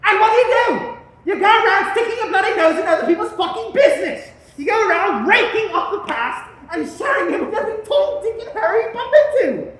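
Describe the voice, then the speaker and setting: Enraged father, sneering and swearing; woman in the role, animated, roomy sound. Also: extremely high pitch, a little fast. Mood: furious. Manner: shouting, sneering, bitter laughs. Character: furious working-class father, swearing. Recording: very echoey room.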